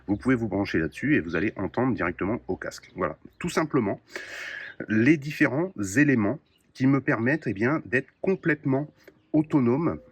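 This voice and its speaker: Low-voiced man explaining his gear, lots of pauses. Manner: explanatory, many "euh" pauses. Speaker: radio hobbyist demonstrating his kit. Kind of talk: casual gear show-and-tell.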